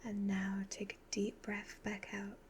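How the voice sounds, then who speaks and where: A breathy, half-whispered evil witch acted out by a woman in an ASMR roleplay. She is a sly, hypnotic fortune teller who gives instructions very slowly in an even, level tone. Some steady noise sits behind the voice. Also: mid-range voice, lightly acted. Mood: soothing, commanding. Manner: slow, deliberate, instructing in measured phrases. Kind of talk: soft-spoken ASMR roleplay.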